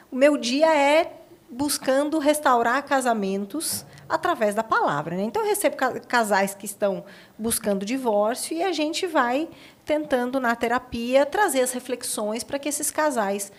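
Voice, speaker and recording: A woman with a Brazilian accent, hosting a conversational interview and asking questions in an informal, engaged way. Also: fairly high pitch. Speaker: podcast host; marriage therapist.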